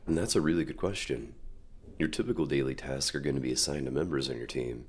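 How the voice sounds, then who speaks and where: A man playing a suave, dominant company CEO in an ASMR roleplay, speaking to the listener with measured, polite, quietly controlling reassurance and deliberate pauses. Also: extremely low pitch, husky. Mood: persuasive, confident. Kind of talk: ASMR roleplay acting, addressing the listener directly.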